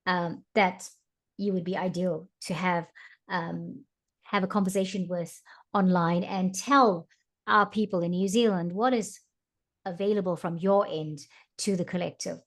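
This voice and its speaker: A woman hosts an online interview show and welcomes her audience and guest. She speaks in a bright, friendly way, often pausing on filler ums. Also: lowish voice, steady tone. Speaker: host.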